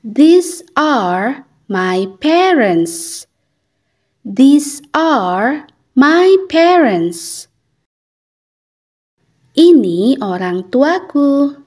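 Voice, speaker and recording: Brief: young woman teaching kids, deliberate drill delivery, bright high voice, very slow and sing-song. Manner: clear, deliberate, lively intonation. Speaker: English teacher for children. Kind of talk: slow repeated phrase drills with translations.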